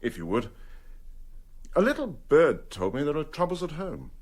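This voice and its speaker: An actor playing an insinuating, gossipy solicitor in a radio drama, mock-casual and oily. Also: male voice, fairly high pitch, huge pitch swings, normal speed. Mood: smooth.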